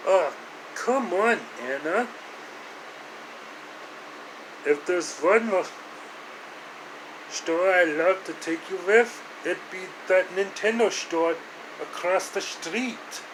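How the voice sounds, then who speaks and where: An easygoing, devoted young best friend, played by a man, high in pitch and slow to speak. He coaxes and reassures in a storybook tale, with room hum behind him. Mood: coaxing. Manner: coaxing, reassuring. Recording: clear room hum and hiss.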